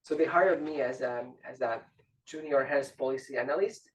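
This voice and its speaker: A young man from Slovakia, speaking on a panel with many fillers. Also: medium pitch. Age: young adult. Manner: quick, with filler words and chuckles. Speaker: roundtable panelist. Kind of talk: conversational panel remarks. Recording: distant mic with faint noise.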